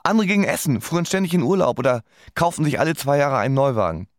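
A man's voice: a little high, animated, quick pace, no echo, studio quality, natural voice. A man playing a wry, resigned musician who narrates his life laconically in a radio play.

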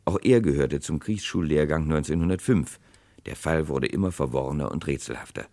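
An actor narrating a 1960s radio crime play as a grave chronicler, with dramatic, deliberate delivery. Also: male voice, a bass voice, dark tone. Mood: grave, suspenseful. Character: grave male chronicler of a historic murder case.

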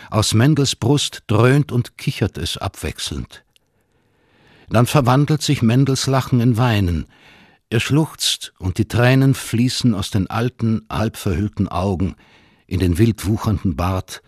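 A male narrator reads literary prose for a radio drama. His voice is fairly low and husky, recorded dry, with deliberate, expressive phrasing. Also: no room sound.

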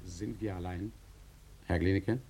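A man's voice: low-pitched, fairly even intonation, unhurried, mellow, slightly rough, heavily acted. A male actor in a radio play as a curt, commanding visitor from the city who gives terse, insistent orders. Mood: businesslike, guarded. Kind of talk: German radio play acting.

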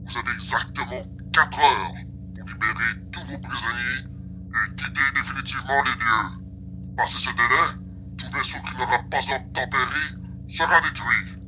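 A man's voice: mid-range voice, moderate pace, sharp tone. A man playing a sardonic, threatening enemy officer with pompous, formal delivery in an audio drama. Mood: cold threat. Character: haughty sardonic military villain, male, threatening officer. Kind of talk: acted audiobook dialogue. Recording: clearly noisy background with hum.

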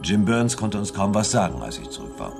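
A man's voice: lowish voice, very animated, a little fast, creaky, music in the background. An actor playing a matter-of-fact male investigator who recounts his hunt in a science fiction radio play. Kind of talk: 1970s German science fiction radio drama acting.

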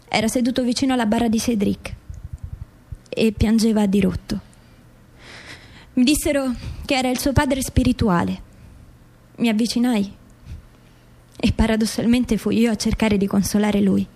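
An actress plays a bereaved mother in a radio drama, her voice on the high side. She testifies with indignant insistence, determined to get at the truth about her son. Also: mellow, natural voice. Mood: sorrowful recollection. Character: grieving, resolute mother seeking truth about dead son.

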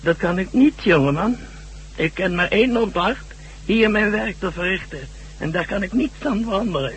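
An actor playing a stubborn, condescending old professor in a Dutch science-fiction radio drama. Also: male voice, sits high, lively intonation, moderate pace. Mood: stubborn, defiant. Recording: noisy, humming old radio recording.

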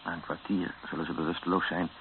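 An actor playing a grave captive who narrates his ordeal in a radio drama in a measured way. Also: low voice, level tone. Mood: grim. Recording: muffled lo-fi radio recording with hiss.

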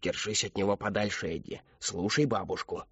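Guy doing a sneaky robot cat grandma, hushed husky whisper, conspiratorial, storybook style. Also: fairly low pitch, moderate pace, no echo, muffled recording. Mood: secretive, warning. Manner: conspiratorial, instructing.

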